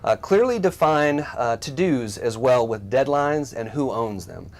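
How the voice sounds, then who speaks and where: A man co-hosting a workplace tech show, giving measured, scripted explanations with light, dry banter. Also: medium pitch, animated, darkish tone.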